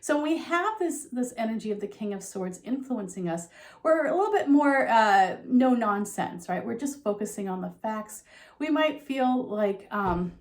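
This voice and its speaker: A middle-aged woman talks through a tarot reading in a loose, conversational way, her voice a touch froggy from a slight sore throat. Her voice sits somewhat high, and she pads her thoughts with ums and you-knows. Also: animated, moderate pace. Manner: soft conversational, with frequent um and you know. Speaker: tarot reader. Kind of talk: talking tarot reading to camera.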